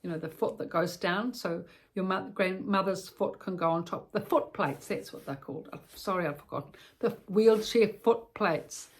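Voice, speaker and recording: A woman's voice: fairly low pitch, normal speed. A woman with a New Zealand accent gives practical advice as informal storytelling, in a conversational, rambling way with repetitions and self-corrections. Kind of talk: informal instructional storytelling addressed to the listener.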